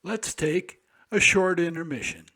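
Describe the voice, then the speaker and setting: A man's voice: a little high, expressive, unhurried, pushed and bright. A man hosting a classic radio programme, reading scripted narration to listeners. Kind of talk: scripted narration on old-time radio history.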